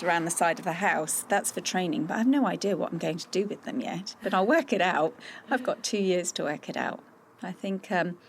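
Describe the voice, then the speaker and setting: Gravelly middle-aged woman, encouraging. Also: fairly low pitch, slight background noise. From Austria. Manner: earnest, encouraging, full of restarts. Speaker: guest. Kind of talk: casual podcast interview.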